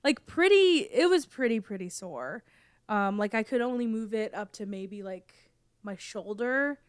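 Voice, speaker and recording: A young woman co-hosting a podcast in casual chat, her voice hoarse and lower from a cold, rambling with many fillers and restarts. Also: lively intonation, measured pace, dry recording, studio quality. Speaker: podcast co-host.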